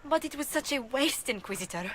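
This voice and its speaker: A woman voicing a refined, gentle young noblewoman diplomat in a video game, speaking politely, formally and earnestly over background noise. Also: sits high, animated, brisk. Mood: regretful. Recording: clear background ambience noise.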